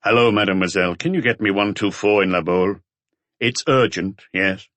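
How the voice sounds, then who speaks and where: A man voicing a weary, measured police inspector in an audiobook, muted and matter-of-fact. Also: deep voice, a bit bright, dry recording. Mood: businesslike.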